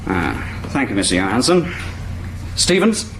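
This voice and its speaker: Sardonic polar base chief, slight hiss. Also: male voice, on the low side, very animated, measured pace, gravelly, fairly bright tone, heavily acted, low-quality recording. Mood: brisk, sardonic. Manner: authoritative, sardonic. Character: budget-minded male chief of polar base, brisk, sardonic. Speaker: radio drama actor. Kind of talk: 1980s radio thriller acting.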